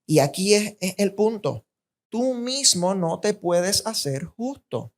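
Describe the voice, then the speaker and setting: A man's voice: sits high, sing-song, slow pace. A man from Puerto Rico with a Puerto Rican accent, preaching a sermon with emphatic, rhythmic repetition that rises on key points. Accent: Puerto Rican Spanish.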